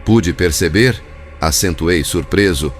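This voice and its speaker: A man's voice: low-pitched, slow, faint music behind. A man reading a spiritual book as a formal, literary scripted narration. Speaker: audiobook narrator.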